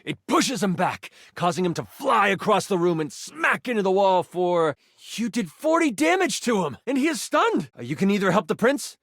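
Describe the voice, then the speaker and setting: Theatrical game master, higher-pitched male voice. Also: normal speed, hardly any pauses, dry recording, clean studio sound, heavily acted. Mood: urgent storytelling. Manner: theatrical, expressive storytelling with sighs and asides. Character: excitable, dramatic male twenty-sided die as game master. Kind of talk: dramatic tabletop fantasy narration.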